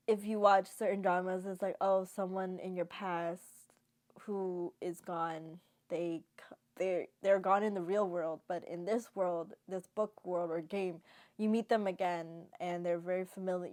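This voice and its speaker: A woman speaks casually, as a vlogger sharing reactions to what she watched. Her voice sits at an average pitch for a woman. She retells things in an animated, chatty way, slipping into quoted reactions as she goes. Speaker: vlogger giving drama reactions. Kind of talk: casual chatty review to camera.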